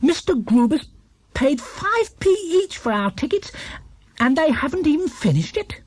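An earnest little male bear from a children's storybook, played by a man reading aloud. He is polite yet easily affronted, with a raised, very animated voice and a blunt way of talking. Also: very high voice, unhurried, no room sound, voice pushed up, heavily acted. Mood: indignant.

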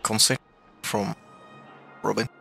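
A man's voice: lowish voice, steady tone, very slow, vocal fry, sharp tone, soft background music. A man giving casual, slangy playthrough commentary as a gaming streamer. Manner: slangy, informal reactions.

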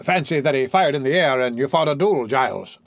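Excitable stout old country doctor, high and blustering, hearty and fussy, voiced by a male reader. Dry, close sound. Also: normal speed, dry recording. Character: fat jolly eccentric old bachelor doctor, man.

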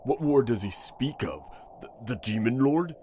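A man's voice: medium pitch, big pitch swings, moderate pace, warm tone, a little hiss or hum, natural voice. A man playing an incredulous, agitated character in a fantasy audio drama, speaking dramatically. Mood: shaken.

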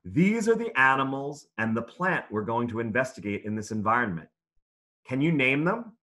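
Male read-aloud teacher for young kids: bright, expressive pitch, clear instructions, encouraging delivery. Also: normal speed. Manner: instructive, simple phrasing for young children, encouraging. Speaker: author and teacher reading aloud. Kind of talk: interactive children's read-aloud lesson over video call.

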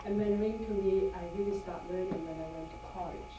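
A woman whose first language is Cantonese, giving a halting impromptu speech at a club meeting. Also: medium pitch, fairly even intonation, over music. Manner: halting, with fillers and light laughs. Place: meeting room with long tables. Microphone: far camera. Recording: echoey room from far off, noisy.

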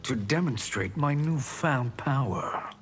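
A man's voice: medium pitch, animated, slow, faint music behind. A man voicing a sly, conniving sorcerer in a fighting game, smug and formal. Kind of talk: video game fight dialogue.

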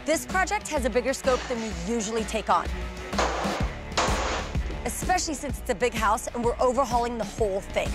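A woman's voice: a little high, animated, brisk, pauses often, loud music behind the voice. A woman co-hosting a home renovation show, talking through a house in a casual, upbeat, decisive way. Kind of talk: casual on-site chat and narration. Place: house under renovation, indoors.

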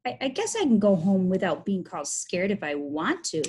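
A woman's voice: medium pitch, very animated, brisk, darkish tone, slight background noise, natural voice. A woman voicing a nervous, wary young boy in a storybook, hesitant and stammering, repeating words. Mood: defensive, flustered. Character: nervous, wary boys urging on and doubting their friend.

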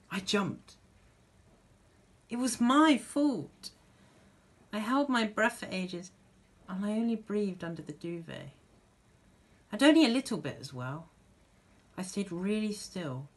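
An acting student playing a frightened, guilt-ridden young child in a dramatic stage monologue, speaking earnestly and naively in halting short sentences. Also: mid-range voice, a bit bright, breathy edge, full character voice. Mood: anxious, hushed.

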